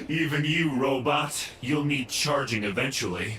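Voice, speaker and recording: Sneering thug, slow drawl, lively pitch, roomy sound. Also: male voice, a little hiss or hum, full character voice. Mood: mocking. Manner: mocking, slow.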